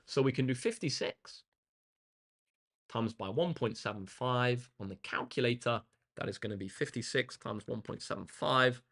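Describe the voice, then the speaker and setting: A young man with a slightly croaky voice, tutoring maths on a live stream in an upbeat, chatty way, reading out comments from chat. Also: mid-range voice, normal speed, a bit bright. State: slightly hoarse. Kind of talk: live-streamed exam walkthrough.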